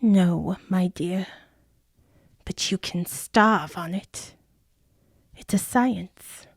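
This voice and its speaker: A woman voicing an old seamstress, weary and worldly-wise, who gives dry, world-weary advice in a husky voice. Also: fairly low pitch, drawn-out words, no echo, exaggerated voice. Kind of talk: amateur radio play acting.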